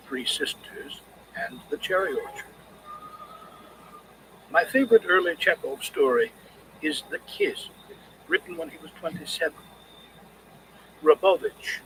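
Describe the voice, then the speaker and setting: A man reading scripted literary criticism as an audiobook narrator, in a measured, expressive way. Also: a little high, background noise.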